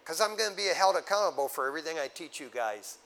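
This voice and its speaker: A man preaching a teaching sermon, walking his congregation through scripture point by point. His voice sits a little high for a man, and he talks in an animated, conversational way, tossing in casual asides to keep his listeners with him. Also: fast, gravelly. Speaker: pastor.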